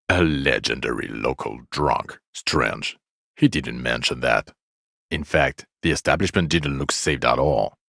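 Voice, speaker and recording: Very deep, gravelly, bitter police detective. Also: male voice, sharp tone, no echo, clean studio sound, exaggerated voice. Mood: bitter contempt. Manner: sardonic, contemptuous, weary scolding. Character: bitter, exasperated, foul-mouthed male police detective, scathingly sarcastic. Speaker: video game voice actor. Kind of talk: video game character dialogue.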